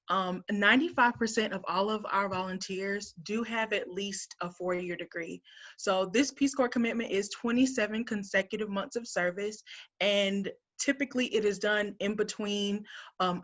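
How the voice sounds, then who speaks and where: A woman's voice: mid-range voice, fairly bright tone. A woman in her thirties from Alabama, a recruiter giving an upbeat, earnest presentation to students over a video call, laughing lightly between points. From Montgomery, Alabama, US.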